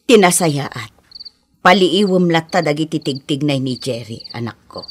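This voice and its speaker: Radio drama role: caring middle-aged mother, Ilocano accent, lowish expressive voice, noisy old recording. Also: normal speed. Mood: advising, soothing. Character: concerned, gently watchful middle-aged mother. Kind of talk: Philippine radio drama acting.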